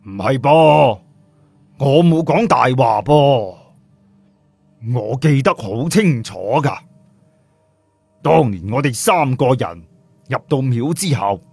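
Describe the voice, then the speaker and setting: A man's voice: medium pitch, animated, takes it slowly, gravelly, pauses often, slightly rough, no echo, faint music behind, full character voice. A man voicing an anxious, superstitious old village chief in a flustered, pleading manner for an audio story. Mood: defensive.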